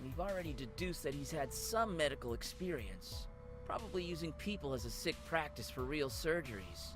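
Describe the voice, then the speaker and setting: A man's voice: fairly high pitch, expressive, normal speed, natural voice. A man voicing a glib, joking detective colleague in a visual novel, with music behind. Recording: clear game music behind.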